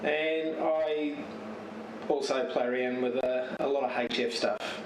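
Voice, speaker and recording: A man giving a casual, unscripted show-and-tell as a radio hobbyist, speaking haltingly and searching for words. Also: mid-range voice. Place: home radio shack. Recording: roomy, distant mic with steady noise.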